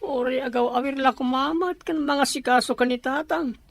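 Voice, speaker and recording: A woman's voice: sits high, fairly even intonation, normal speed, gravelly, no room sound. A woman playing an anxious, dutiful younger sister in an Ilocano audio drama, earnest and plaintive, with an Ilocano accent. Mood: pleading.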